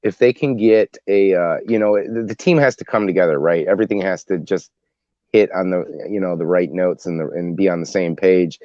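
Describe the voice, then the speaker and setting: A man in a casual group discussion, rambling as he thinks out loud. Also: sits low, a little fast, no echo, lo-fi sound. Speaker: podcast panelist.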